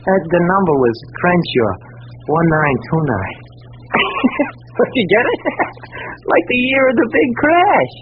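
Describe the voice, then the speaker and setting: A man's voice: high voice, big pitch swings, slow, exaggerated voice. A man playing a nervous, scrawny drunk informant with a stammering, wheedling manner in an old radio detective drama. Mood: sly, giggly. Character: whiny, nervous, scrawny barfly lush and informant. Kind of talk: 1940s-50s radio detective drama acting. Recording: tinny, hissy old radio recording.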